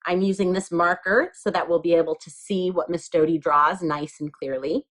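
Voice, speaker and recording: A woman's voice: on the low side, fairly even intonation, moderate pace, fairly bright tone. A woman teacher giving a step-by-step craft lesson to young children, explaining things simply and patiently.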